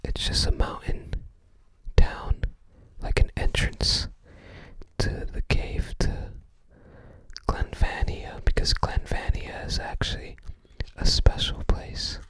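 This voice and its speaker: This is a fully whispered ASMR voice, hushed and breath-only. The host takes things very slowly, musing aloud in an unhurried, informal way. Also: dry recording. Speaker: ASMR host browsing a game guide book.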